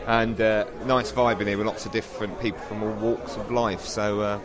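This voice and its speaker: A man's voice: on the low side, level tone, normal speed. Man chatting amid busy pub crowd. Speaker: podcast co-host. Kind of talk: casual review chat.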